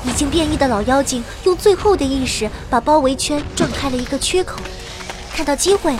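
A woman's voice: sits high, fairly quick, music in the background, lightly acted. A woman playing a young adventurer who narrates her story in a dramatic audio-drama style. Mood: tense, dramatic.

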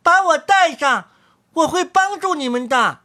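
A woman voicing a tiny, bold, prankish boy in a storybook reading, teasing and shouting in a high voice. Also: expressive, measured pace, full character voice. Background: soft music.

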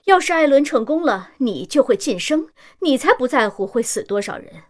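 An actress in a science-fiction radio drama playing a principled, defiant machine operator who is accusing and sharp-tongued. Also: female voice, sits high, sing-song, moderate pace. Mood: scornful.

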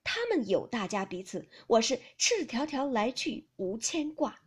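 A woman's voice: fairly high pitch, very animated, unhurried, husky, full character voice. A woman voicing a moody, aloof, brooding teenage young master in an audiobook of a classical novel. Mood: bitter, aloof.

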